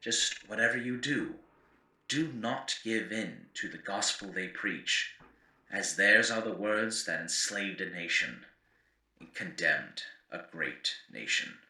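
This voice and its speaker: An actor playing a grave, eloquent chronicler of a ruined world, narrating lore in formal, theatrical oratory for an audio drama. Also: male voice, sits low, lively intonation, takes it slowly, bright, forceful tone, reverberant, recorded from a distance, heavily acted, poor audio. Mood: solemn warning. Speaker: narrator and host. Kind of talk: scripted in-character lore narration for an audio series.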